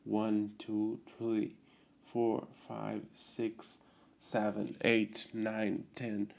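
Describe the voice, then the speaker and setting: A man teaching English in a casual video lesson, explaining in a relaxed way and often checking in with his viewers. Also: fairly low pitch, very slow, gravelly. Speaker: self-taught English tutor.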